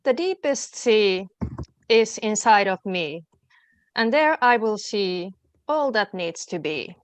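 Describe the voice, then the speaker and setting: A woman's voice: mid-range voice, lively intonation, slow. A woman on a video call in an online meeting, speaking briefly and lightly. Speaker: meeting participant.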